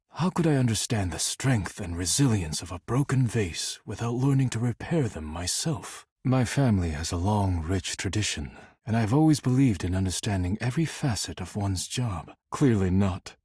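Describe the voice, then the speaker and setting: An actor playing a stern, formal, work-obsessed man in a video game, speaking in measured, stiff diction. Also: lowish voice, expressive, a little mellow, breathy edge, no room sound, studio quality. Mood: formal, reserved. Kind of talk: video game character dialogue.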